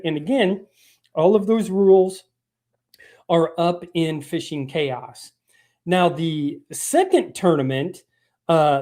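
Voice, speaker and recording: Froggy-voiced fishing show host. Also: male voice, a little high, mellow. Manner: rambling, with many ums and restarts. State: froggy voice from a lingering cough. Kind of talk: live stream show talk. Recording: live stream broadcast.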